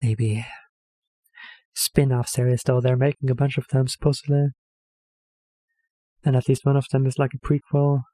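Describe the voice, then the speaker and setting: Mellow-voiced man rambling. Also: mid-range voice, level tone, moderate pace, pauses often, no echo. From Norway. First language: Norwegian. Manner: hesitant, rambling, self-correcting with many fillers. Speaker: reaction video host. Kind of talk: casual rambling commentary.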